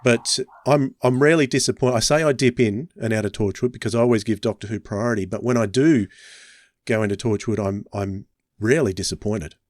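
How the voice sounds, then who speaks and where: A man's voice: lowish voice, a little fast, no room sound. A man hosting a casual podcast chat, speaking close to the microphone. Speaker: podcast host.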